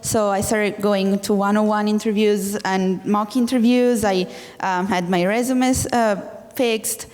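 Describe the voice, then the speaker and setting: Halting young woman from Italy. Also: medium pitch, steady tone, a little mellow. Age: 20s. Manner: halting, searching for words. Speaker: student panelist. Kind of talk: panel discussion. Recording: long hall reverb.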